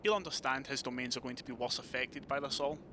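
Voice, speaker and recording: Actor as a courtly, commanding vampire prince. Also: male voice, medium pitch, fast talker, background noise, lightly acted. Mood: businesslike, composed. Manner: formal, courteous, imperious. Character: courtly, authoritative male vampire prince, measured and shrewd. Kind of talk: audio drama acting.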